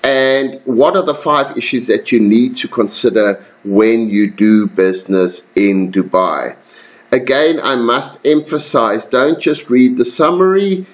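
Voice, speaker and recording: Male lecturer, mid-pitched voice, casual voice-over for slides, calls students "guys". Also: unhurried. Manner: relaxed, addresses students as guys, many ums and self-corrections.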